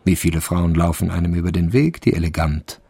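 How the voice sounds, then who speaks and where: A man's voice: sits low, brisk, vocal fry, very noisy. A man narrating a radio crime drama as a composed, wry literary storyteller.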